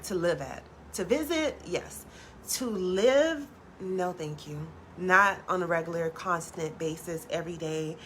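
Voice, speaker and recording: A woman who is a tarot reader, hosting a casual live stream and chatting with viewers in a soft, low voice, with lots of "like" and casual swearing. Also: a little hiss or hum. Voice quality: low natural voice.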